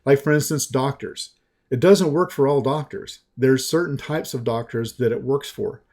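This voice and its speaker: Slow-talking Southern man, mellow voice. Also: medium pitch. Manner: slow, folksy, conversational with restarts. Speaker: sales and marketing coach. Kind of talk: webinar sales pitch.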